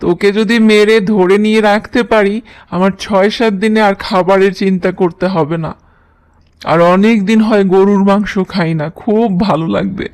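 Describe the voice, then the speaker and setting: A man's voice: sits high, fairly even intonation, a little slow, a little mellow, clean tone. A man voicing a greedy, gullible lion king in a children's cartoon, talking down to others in a bossy way. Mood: menacing, bossy. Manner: imperious, talks down to others. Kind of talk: children's cartoon story dialogue.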